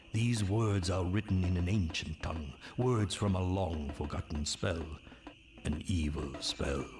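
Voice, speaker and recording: A very low-voiced, grave storyteller of dark legends for a fantasy video game. He delivers each phrase with portentous, theatrical weight, and music plays prominently behind him. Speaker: narrator. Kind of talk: dramatic scripted fantasy game narration.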